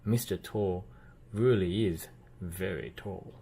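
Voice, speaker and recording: Low-voiced man reading a children's storybook. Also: slow pace, background noise. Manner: expressive storytelling with playful emphasis and sound effects. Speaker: children's book reader. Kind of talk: read-aloud storybook narration.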